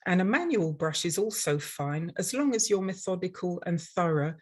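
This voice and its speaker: An older woman with a London English accent presents a prepared webinar. Her voice sits a little low for a woman. She speaks in a measured, instructional manner, like an experienced instructor addressing learners. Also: animated, darkish tone. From London, England. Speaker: dental hygienist and instructor presenting.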